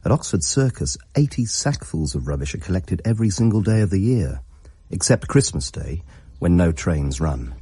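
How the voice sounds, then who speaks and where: An actor playing a solemn chronicler, delivering scripted narration in a radio drama in a measured, deliberate way. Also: male voice, sits low, big pitch swings, mellow, husky, dry recording, exaggerated voice. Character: solemn male chronicler of Underground facts.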